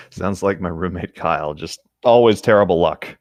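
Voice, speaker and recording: A man's voice: low-pitched, a little slow, darkish tone, no room sound, highs cut off. A man who reads a written story aloud and drops into casual chat as a podcast host. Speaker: podcast host reading stories.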